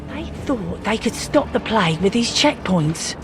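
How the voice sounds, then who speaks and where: Game role, female actor: tough wasteland scavenger, mid-pitched, wry, plainspoken, music underneath. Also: animated, unhurried, lightly acted. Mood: matter-of-fact. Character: tough, knowing female post-apocalyptic scavenger. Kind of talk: video game character acting.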